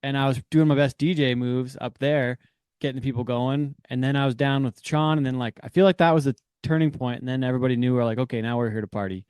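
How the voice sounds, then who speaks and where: A man's voice: mid-range voice, fairly even intonation, darkish tone, smooth, no echo, studio quality. A man co-hosting a podcast, joking through casual chat. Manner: quick, joking, full of fillers. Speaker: podcast co-host.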